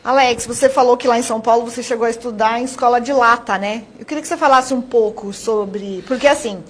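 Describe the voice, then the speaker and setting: A Brazilian woman asking conversational questions as the interviewer on a television programme. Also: on the high side.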